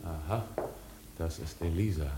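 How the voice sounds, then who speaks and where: An actor playing an inquisitive, dryly ironic gentleman investigator in a German radio drama, probing with small hesitations. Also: low voice, a little slow, very noisy.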